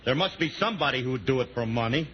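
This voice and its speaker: A man's voice: medium pitch, expressive, quick pace, lo-fi sound, natural voice. A man playing a cocky, wisecracking husband and father with a Southern American accent in a 1940s radio comedy. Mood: scheming. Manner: brash, boastful, folksy slang. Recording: noisy, band-limited 1940s radio recording.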